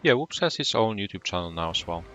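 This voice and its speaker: A man from Poland with a Polish accent, whose first language is Polish, calmly commenting on his game for a live stream and greeting viewers in chat. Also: on the low side, faint music behind. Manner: calm, unhurried, greets chat viewers between plays.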